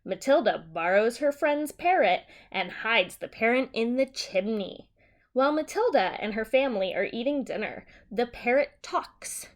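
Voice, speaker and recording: A woman teacher reading a simplified children's story aloud and explaining it in a deliberate, simple way for young children. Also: a little high, lively intonation.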